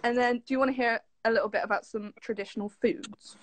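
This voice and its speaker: A woman's voice: on the high side, fairly even intonation, fast, no room sound. A young woman with an English accent chatting casually and informally as she shares fun facts, with a giggly manner. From southwest England. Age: young adult. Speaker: guest, former camp staffer.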